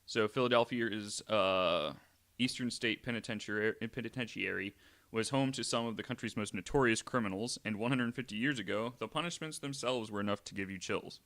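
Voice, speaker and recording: A young adult man hosting a podcast, reading from notes with frequent "uh" fillers and adding wry, deadpan asides. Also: on the low side, a little fast. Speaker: podcast host.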